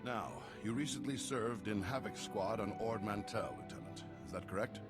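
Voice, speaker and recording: An actor playing a measured galactic senator in a video game, speaking formally and deliberately. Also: male voice, fairly low pitch, close to an everyday voice. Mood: probing. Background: music.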